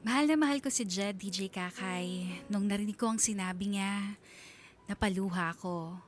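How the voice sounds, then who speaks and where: A woman's voice: mid-range voice, normal speed, faint music behind, natural voice. An actress playing a sentimental married mother with a Filipino accent, narrating her own love story in a heartfelt, reflective way for a radio drama.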